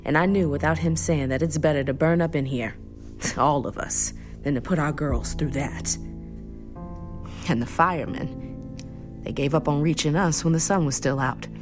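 An actress as a low-voiced, haunted mother. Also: normal speed, over music, lightly acted. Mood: resigned, grieving. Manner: intimate, reflective storytelling. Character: haunted young mother recalling terror, resigned and tender. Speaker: actress in a radio drama. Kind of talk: first-person dramatic monologue.

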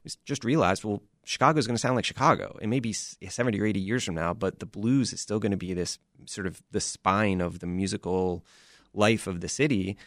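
A man's voice: lowish voice, a little fast, fairly bright tone, dry recording, studio quality. A man speaking as a producer and interview guest, explaining things earnestly and emphatically in a conversational way. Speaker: audio drama founder and producer, interview guest.

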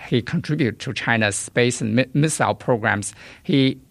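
A man is a guest on a radio interview, speaking earnestly but hesitantly. His voice has a gravelly fry. The sound is dry. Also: medium pitch, moderate pace, no room sound. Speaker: guest, journalist and author.

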